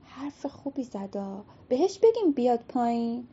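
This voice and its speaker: A woman voicing a group of kindly magical old women in a told folktale, chattering quickly. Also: a little high, big pitch swings, warm tone, husky, muffled recording, exaggerated voice. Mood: pleased.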